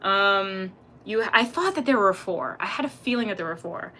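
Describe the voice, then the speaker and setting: A young woman live-streaming, chatting casually and reacting to her chat in a rambling, slangy, animated way. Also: mid-range voice, normal speed. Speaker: livestreamer reading chat.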